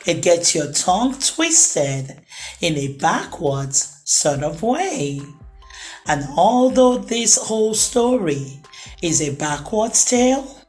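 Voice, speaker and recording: A woman narrating a children's storybook as a storytime host, with expressive, deliberate phrasing for young listeners. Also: fairly low pitch, faint music behind. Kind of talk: children's storybook read-aloud, rhyming narration.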